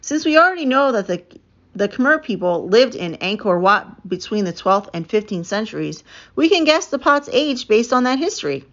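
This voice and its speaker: Storybook young archaeologist man played by a female reader, enthusiastic and explanatory, very animated, slightly muffled recording. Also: on the high side, normal speed. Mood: keen, explaining. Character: eager young male archaeologist, team's explainer.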